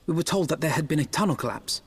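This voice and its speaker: A young male noble in a fantasy game. His voice is fairly high and husky. He speaks formally, with earnest courtesy and gravity. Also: lively intonation, quick pace. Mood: gentle concern. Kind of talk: fantasy video game voice acting.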